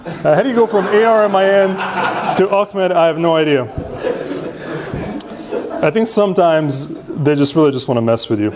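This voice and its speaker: A man presents to a meetup crowd. He is self-deprecating and casual, often tags his points with a quick "right?" and restarts his sentences as he goes. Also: fairly high pitch, noisy background. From Serbia. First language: Hungarian. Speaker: presenter at user group meetup. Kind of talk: conference talk with slides.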